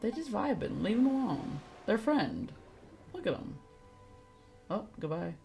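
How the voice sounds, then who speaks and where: A woman's voice: mid-range voice, sing-song, measured pace, creaky, a little mellow, faint music behind. A woman streaming a video game, giving casual, playful live commentary in an informal way. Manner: informal, playful, reacting aloud.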